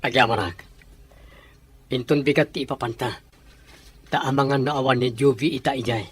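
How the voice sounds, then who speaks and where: A man's voice: medium pitch, fairly even intonation, normal speed, husky, lightly acted. A man playing a sober boss who explains matters plainly in an audio drama, with an Ilocano accent. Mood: matter-of-fact. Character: sober male boss, addressed respectfully as sir.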